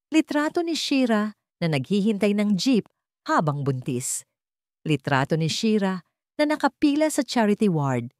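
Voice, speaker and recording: A woman's voice: medium pitch, a little mellow, dry recording, studio quality. A woman storyteller reading a scripted drama narration in a dramatic style.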